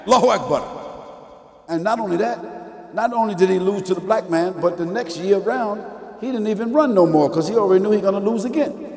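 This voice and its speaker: Older preacher, goes loud then hushed, quizzing the crowd, seated at a stand mic in a big echoey hall. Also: male voice, sits high. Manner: animated, rhetorical questions to the audience, big swings from loud to quiet. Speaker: Islamic preacher. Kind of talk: mosque lecture. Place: large mosque hall, seated in armchair. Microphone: stand mic and lapel mic.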